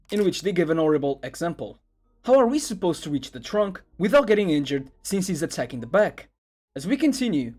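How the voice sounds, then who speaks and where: A man's voice: a little high, darkish tone. A man reading scripted narration in the style of an internet video essay. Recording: music under the voice. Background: background music.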